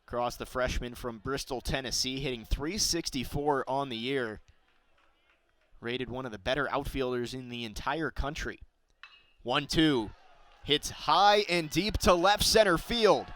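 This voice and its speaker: A man's voice: mid-range voice, unhurried, a bit bright, no echo. A young man calling baseball play-by-play for radio. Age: young adult. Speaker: play-by-play announcer.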